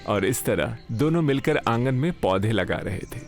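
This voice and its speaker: Male narrator, medium pitch, scripted moral-tale storytelling over a prominent music bed. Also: expressive, moderate pace, gravelly. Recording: background music under narration.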